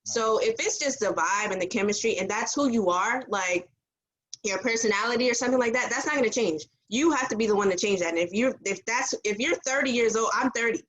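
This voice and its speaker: A woman's voice: a little high, a little fast, a bit bright, poor audio. A woman in her thirties co-hosting a casual podcast, talking frankly and straight up. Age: 30s. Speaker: podcast co-host. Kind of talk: casual group chat.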